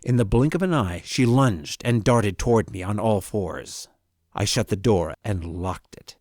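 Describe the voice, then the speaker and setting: A man narrating a scripted horror story in the first person as a haunted grandson, with measured, dramatic delivery and deliberate pauses. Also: on the low side, lively intonation, darkish tone, a little hiss or hum. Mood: tense, fearful. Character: haunted grown grandson recounting a frightening visit. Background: music.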